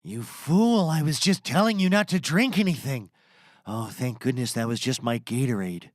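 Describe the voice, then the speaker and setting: A man's voice: medium pitch, animated, normal speed, no echo, studio quality, lightly acted. A man playing an earnest, easily exasperated mad scientist in a scripted audio comedy, grave, urgent and theatrical.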